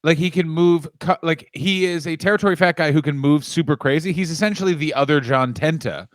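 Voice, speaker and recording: A man co-hosts a podcast in loose, jokey banter, often talking over his partner with playful mock outrage. His voice sits a little high, and the recording is close and dry. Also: fairly quick, no room sound. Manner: joking, interrupting, playful. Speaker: podcast co-host. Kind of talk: casual comedic chat.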